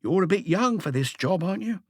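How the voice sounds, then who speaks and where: A scornful old misanthrope, put on by a male narrator, needles his listener in a high, swooping voice. He is repetitive and mocking, in a detective audiobook. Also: animated, brisk, breathy edge, no room sound, clean studio sound, full character voice.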